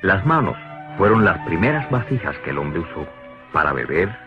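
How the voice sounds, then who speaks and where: A man with a low voice narrates an educational cartoon with lively, varied intonation. He explains in a didactic way over clear music, on a dull lo-fi soundtrack. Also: moderate pace. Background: clear background music.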